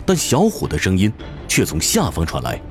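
A man's voice: fairly low pitch, wildly swooping pitch, normal speed. A man narrating a fantasy audiobook in a theatrical, suspenseful style, over music. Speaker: narrator, professional voice actor. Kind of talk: dramatic scripted audiobook narration. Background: music bed.

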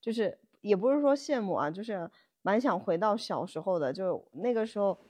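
A young woman with an average-pitched voice chats with friends. Her delivery is informal and conversational, as on a casual podcast. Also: animated, normal speed, mellow.

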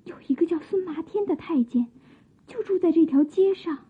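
Actress as young maiden of old China, high pitch, soft coy theatrical diction, modest, radio drama, narrow-band recording. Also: female voice, normal speed. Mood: anxious. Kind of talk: Chinese radio drama acting.

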